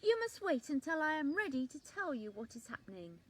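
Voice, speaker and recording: A woman voicing a rude, self-important male leaf at the top of a tree, talking loudly. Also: very high-pitched, expressive, moderate pace, voice pushed up. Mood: haughty. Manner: loud, shouting, self-important.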